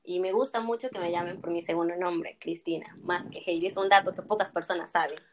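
A woman's voice: on the low side, fairly even intonation, brisk, a little hiss or hum, low-quality recording. A woman in her early twenties answering interview questions in a friendly, hesitant way, often repeating herself. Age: 20s. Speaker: guest.

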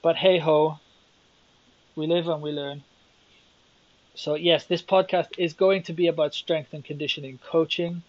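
A man's voice: a little high, fairly even intonation, unhurried, long pauses, no echo. Rambling Welsh man on a lo-fi podcast. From Wales. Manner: rambling, self-deprecating, thinking aloud. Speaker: podcast host. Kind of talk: solo podcast monologue.

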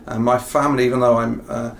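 A man's voice: medium pitch, flat delivery, fast, vocal fry. A middle-aged man with a southern English accent, answering interview questions in a rambling way with many ums and self-corrections. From England. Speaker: professor and executive guest. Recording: faint ambient noise.

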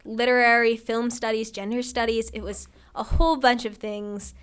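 A teenage girl from Ohio, a high school senior, chatting enthusiastically as a podcast guest. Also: steady tone, moderate pace, a bit bright, clean tone. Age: teens. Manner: enthusiastic, upbeat. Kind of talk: casual interview chat.

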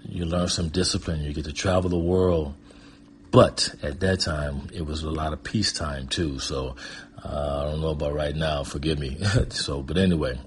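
An American man with a very low-pitched voice shares personal memories as a guest storyteller. He talks informally and wanders from one thought to the next. There is noticeable background noise on the recording. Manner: rambling, conversational. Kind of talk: casual autobiographical storytelling.

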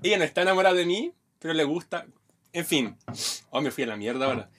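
Brazilian guy on a podcast, clipped choppy talk, bright sharp voice, kinda high. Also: expressive, fast, a little hiss or hum. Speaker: podcast co-host, comedian. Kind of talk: casual chat.

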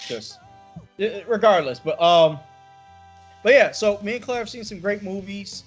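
Guy hosting a podcast, swears a lot, high husky voice, faint music behind. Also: normal speed, poor audio. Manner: animated, profane storytelling. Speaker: podcast host. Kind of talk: casual chat.

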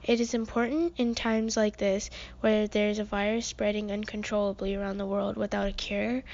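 Teenage girl, podcast co-host, earnest, measured reading, steady flat pitch, muffled lo-fi sound. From United States. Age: teens. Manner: earnest, measured delivery with frequent ums. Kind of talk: reading a prepared podcast segment.

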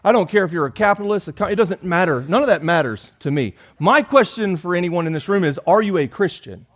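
A man, preaching a sermon in a folksy, joking way, rising loud and dropping soft. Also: sits high, expressive, fast talker. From Georgia. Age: 40s. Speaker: pastor.

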